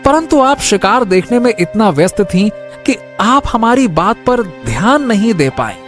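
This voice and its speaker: Man acting a slow, hesitant royal soldier. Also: sits high, big pitch swings, fairly bright tone, faint music behind, heavily acted. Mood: nervous, apologetic. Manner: halting, timid, deferential. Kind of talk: Hindi cartoon story acting.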